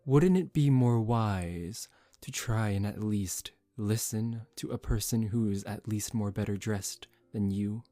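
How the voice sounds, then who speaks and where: A man voicing a suave, condescending young male vampire in a soft-spoken ASMR roleplay, calm and teasing. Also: fairly low pitch, a little slow, hardly any pauses, no echo, natural voice. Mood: coolly amused. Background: relaxing background music.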